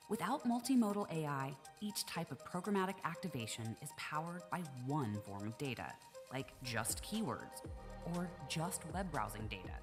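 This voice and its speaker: A woman reading a scripted promotional voice-over in a polished, smooth delivery. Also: sits low. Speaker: promo video narrator. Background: music bed.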